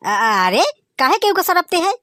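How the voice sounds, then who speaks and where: A man's voice: extremely high pitch, big pitch swings, moderate pace, music in the background. A man with a Bagheli accent voicing a joking rustic village husband who also narrates the cartoon. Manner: folksy, joking, exclaiming.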